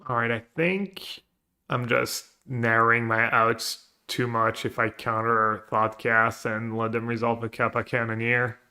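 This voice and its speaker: A man with a bright, forward voice streams a card game live. He talks casually to his viewers and works through his plays out loud in a mid-range pitch. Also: steady tone, a little slow. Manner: thinking aloud, planning plays. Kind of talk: live gameplay commentary, reading chat.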